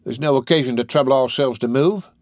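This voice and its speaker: Storybook character, male narrator performing: cocky young male lout, pitched up, grasping and self-important. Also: quick pace, darkish tone, no room sound, clean studio sound, lightly acted. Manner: self-important, colloquial, questioning.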